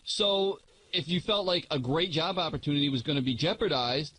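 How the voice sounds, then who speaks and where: A man's voice: on the high side, a little fast, sharp tone, slight background noise, low-quality recording. A man in a casual radio panel debate, hedging and trying to see both sides.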